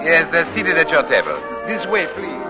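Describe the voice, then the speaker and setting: An actor playing a polite, formal restaurant maître d' welcoming guests in a 1940s radio comedy. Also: male voice, fairly high pitch, wildly swooping pitch, normal speed, loud music behind the voice. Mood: courteous. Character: courteous male restaurant maître d'.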